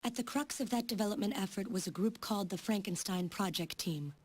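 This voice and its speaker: A woman voicing a grave, sorrowful scientist in a video game, telling of a dark past with dramatic delivery. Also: medium pitch, fairly quick, breathy edge, natural voice.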